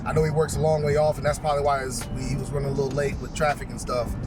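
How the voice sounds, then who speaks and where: A man's voice: mid-range voice, little pitch movement, very fast. A man telling a story casually to viewers in a conversational way, repeating phrases for emphasis. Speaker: police chief telling stories. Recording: distant mic, music playing behind. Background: clearly audible music.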